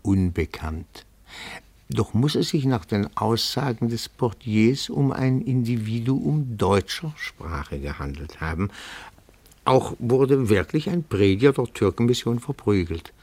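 An actor playing a timid but dogged petit-bourgeois amateur detective in a radio play, formal, meticulous and deferential, given to rapturous declamation. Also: male voice, mid-range voice, lively intonation, measured pace, a little mellow, slightly rough. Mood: precise, reporting. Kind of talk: German radio play acting.

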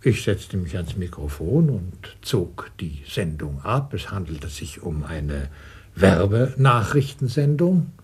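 A man's voice: sits low, sing-song, takes it slowly, breathy edge. A male radio announcer with a southern German accent, telling a reminiscence with theatrical emphasis. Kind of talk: storytelling reminiscence.

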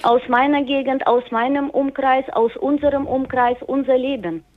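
High-voiced woman caller on a phone line. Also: fairly even intonation, normal speed. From Soviet Union. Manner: guarded, careful replies. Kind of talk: phone-in call. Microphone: telephone.

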